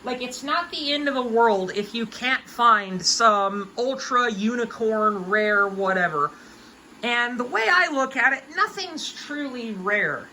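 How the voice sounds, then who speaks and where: A woman's voice: a little high, expressive, slow, sharp tone, a little hiss or hum, rough recording. A woman of about twenty giving hobby advice in a casual, unscripted rant, with an emphatic, folksy, colloquial way of talking.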